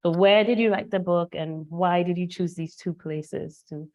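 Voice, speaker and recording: A woman's voice: on the low side, fairly even intonation, moderate pace, a little mellow. A woman interviewing a fellow writer in an informal, conversational way, on a slightly lo-fi recording. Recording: slightly dull, lo-fi sound.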